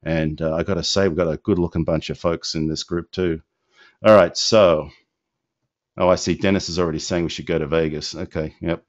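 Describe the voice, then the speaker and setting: A man's voice: deep voice, fairly even intonation, brisk, dry recording. A man hosting a live business question-and-answer call, answering loosely with many fillers and restarts. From not from Indiana. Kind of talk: live Q&A webinar, casual. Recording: dull lo-fi dry audio.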